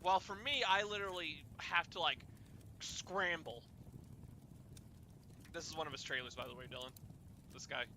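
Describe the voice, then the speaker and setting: A man hosting an informal chat, telling rambling stories in a halting, animated way and swearing at times. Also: on the high side, a little hiss or hum. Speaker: host of an informal fireside chat. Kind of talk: casual chat, rambling storytelling.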